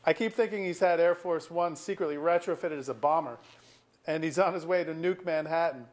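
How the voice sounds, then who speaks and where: A man's voice: fairly high pitch, fairly quick, close to an everyday voice. A bitter middle-aged man played by an actor in a stage play reading. He talks in wry, wordy, sarcastic turns, like a small-town lawyer nursing a grudge, in naturalistic dialogue. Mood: wry, resigned. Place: auditorium.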